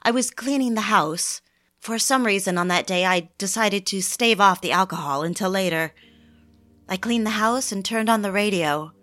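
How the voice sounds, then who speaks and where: Actress as a wistful, genteel young housewife. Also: female voice, medium pitch, moderate pace, fairly bright tone, lightly acted. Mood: confiding, hopeful. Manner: theatrical, confiding, genteel, old-fashioned phrasing. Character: fragile, wistful, eager young 1940s housewife. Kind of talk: dramatic scripted audio drama narration and dialogue.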